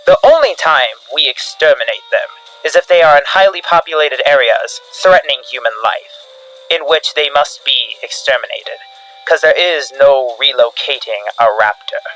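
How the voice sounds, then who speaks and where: A man's voice: mid-range voice, lively intonation, moderate pace, pushed and bright, poor audio. A man delivering scripted analog horror narration like a field briefing, in a grave, dramatic instructional manner. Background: background music.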